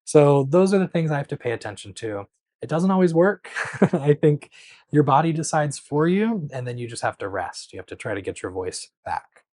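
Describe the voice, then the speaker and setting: A man hosting a knitting channel, answering viewer questions in a relaxed, patient chat to camera, with many small pauses, recorded on a clip-on mic. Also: a little high, no room sound. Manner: conversational, explains patiently with frequent um and so.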